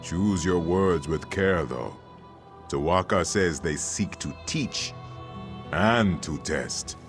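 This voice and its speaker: A man's voice: sits low, animated, slow pace, over music. A man voicing a wise, devout sage in a fantasy game, speaking in a solemn, ceremonious manner. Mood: grave, instructive. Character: wise, devout male sage guiding a hero through trials. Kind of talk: fantasy video game acting.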